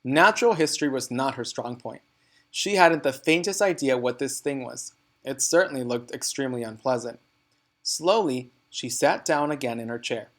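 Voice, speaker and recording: A man reading a children's storybook aloud as narrator, in an expressive, deliberate and dramatic way. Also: mid-range voice.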